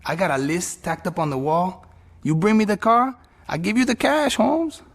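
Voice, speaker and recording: An actor playing a bitter, prickly chop-shop boss in a video game, slangy and abrasive. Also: male voice, sits high, moderate pace. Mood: bitter, businesslike. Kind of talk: video game cutscene acting.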